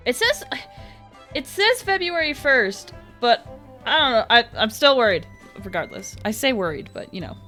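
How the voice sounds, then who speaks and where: A woman streams a video game with casual, rambling commentary. Her voice is fairly high and bright, full of reactive asides. Soft game music plays behind her. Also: very animated, moderate pace. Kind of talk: casual live gameplay commentary.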